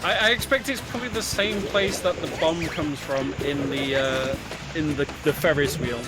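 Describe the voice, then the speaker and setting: Slightly high-voiced man explaining over game music. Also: moderate pace, rough recording. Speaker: speedrun commentator. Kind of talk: casual explanatory game commentary. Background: video game music.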